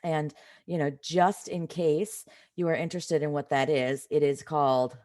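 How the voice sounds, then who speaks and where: A woman with a slightly raspy voice from a cold, teaching an informal live online math lesson in a conversational way, stressing key points. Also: low-pitched, level tone, normal speed, darkish tone. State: has a cold.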